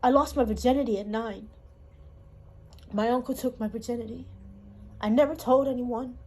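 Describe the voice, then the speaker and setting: A woman from Hawaii, telling her life story in a halting, emotional way with pauses. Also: a little high, steady tone, a little mellow, husky. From Honolulu, Hawaii. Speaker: interviewee. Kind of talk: personal testimony.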